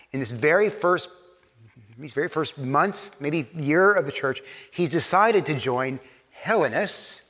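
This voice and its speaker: A man from Texas, a pastor preaching a sermon to his church, talking fast and stumbling over repeated words, his Texan accent worn faint by years in New York. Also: a little high, fairly bright tone, in a large hall.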